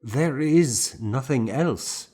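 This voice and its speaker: A man's voice: mid-range voice, lively intonation, drawn-out words, mellow, slightly rough, voice pushed up, full character voice. A man reading an audiobook as a grave, pious monk, solemn and earnest. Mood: solemn resolve. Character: grave, pious monk troubled yet resolute. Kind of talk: audiobook character dialogue.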